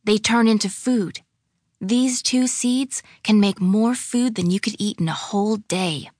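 A woman's voice: medium pitch, unhurried, darkish tone, no room sound, clean studio sound, pitched above the performer's own voice, close to an everyday voice. A woman reading a storybook, voicing a secretive, determined young girl who speaks earnestly and insistently.

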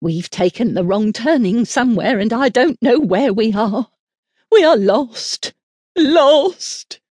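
A woman's voice: medium pitch, big pitch swings, unhurried, husky, no room sound, voice pushed up. Woman as a fretful, raised-voice nursemaid. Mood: terrified, bewildered. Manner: fretful, urgent. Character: panicky, sharp-tempered adult nursemaid of a princess.

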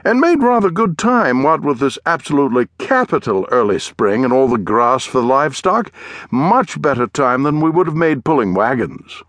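An upper-class English gentleman adventurer, pompous and endlessly chatty. The voice sits high and leaps about in pitch, effusive and cheerful, in the manner of an audiobook character. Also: sing-song, moderate pace, dry recording. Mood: chatty, boastful.

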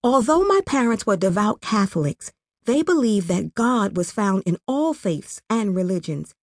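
A woman from Rwanda narrates her own memoir as an audiobook. She reads from a script at a middle pitch for a woman. The sound is dry, with hardly any room around the voice. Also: sing-song, moderate pace, no echo.

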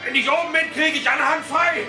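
An actor playing a sceptical, matter-of-fact police inspector who hunts monsters in a German audio drama. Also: male voice, very high-pitched, a little fast, over loud music. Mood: tense, shouting. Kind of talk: German audio drama acting and first-person narration.

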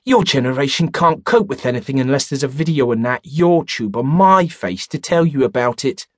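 A man's voice: medium pitch, expressive, moderate pace, no echo, studio quality, lightly acted. A male narrator voicing a grumpy elderly male pub regular, grumbling and scornful, with a wheezy edge.

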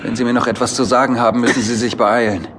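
An actor playing a commanding, embittered gentleman in a German audio drama, speaking tersely and urgently. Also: mid-range voice, level tone, fast, slight background noise, close to an everyday voice. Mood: cold, bitter. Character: commanding, embittered gentleman seeking truth about fiancée's death.

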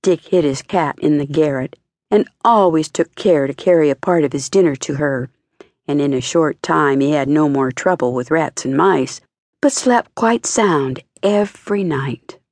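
A woman reading a children's fairy tale as an expressive audiobook narrator. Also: low voice, unhurried, dry recording. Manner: expressive storytelling.

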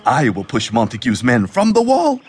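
An actor in a Shakespearean audio drama playing a cocky, bawdy young servant who boasts and leers with drawn-out emphasis. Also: male voice, medium pitch, huge pitch swings, breathy edge. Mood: lewd bravado. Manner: boastful, leering, chuckling, drawn-out emphatic delivery.

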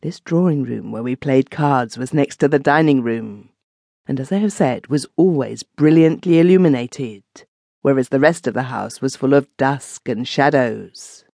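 A man reads a classic ghost story as an audiobook narrator, in a measured, literary storytelling manner. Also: mid-range voice, gravelly, dark tone, no echo, studio quality.

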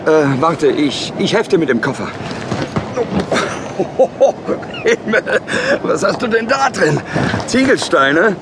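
A man's voice: high-pitched, big pitch swings, takes it slowly, exaggerated voice. An actor playing a hearty, chummy dentist, the mother's new boyfriend, in an audio drama. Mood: straining, amused. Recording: clear vehicle and station noise.

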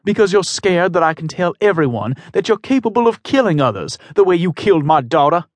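A man's voice: high voice, very animated, a little fast, no echo, pitched above the performer's own voice. A man reading aloud and voicing a bitter, threatening grieving father. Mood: menacing. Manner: menacing, scornful.

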